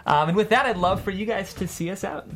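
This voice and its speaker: A man's voice: high-pitched, quick pace, fairly bright tone, a little hiss or hum. A man hosting a casual panel discussion, chatty and conversational, often saying "like". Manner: chatty, frequent "like". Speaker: host and screenwriter.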